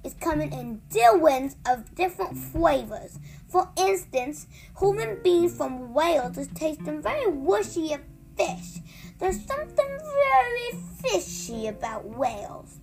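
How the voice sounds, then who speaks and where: A big muddled storybook giant, put on by a woman reader, protesting in a very high, booming voice. His delivery swoops widely, with indignant emphasis and jumbled grammar. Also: big pitch swings, unhurried, darkish tone, soft background music, exaggerated voice, rough recording. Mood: lecturing. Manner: booming, mangled grammar and invented words. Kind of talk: children's storybook reading.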